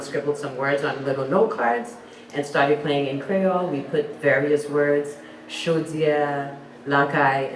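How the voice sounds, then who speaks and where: A woman, an app co-founder, introducing herself and her product on a panel in an upbeat, promotional way. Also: sits low. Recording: echoey room from far off, faint background noise.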